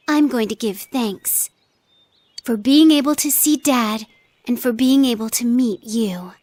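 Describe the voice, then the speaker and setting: A woman's voice: sits high, dry recording. A woman voicing an earnest, considerate and reserved teenage girl in an anime video game, polite and thoughtful. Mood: sincere, hopeful.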